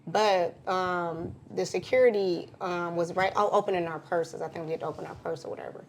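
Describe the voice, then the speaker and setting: A woman with a fairly low voice tells a story in an easygoing podcast chat. She acts out what other people said as she goes. Faint hiss sits behind her. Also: fairly quick. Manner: animated storyteller, acts out quotes. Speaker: podcast co-host.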